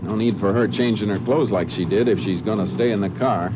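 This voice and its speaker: Casting: man playing a deep-voiced, terse Ranger on a manhunt, steady and shrewd, old radio serial with heavy noise. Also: a little fast, lightly acted. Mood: alert, urgent. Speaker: radio actor and narrator. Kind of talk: 1950s radio drama acting. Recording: noisy, muffled old-time radio recording.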